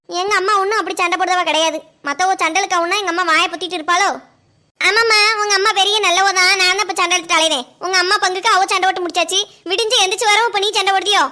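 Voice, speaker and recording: A woman voices a young wife who argues in quick, sharp retorts. The voice is very high and bright, and it races along. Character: quarrelsome young wife defending herself. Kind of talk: comic family skit.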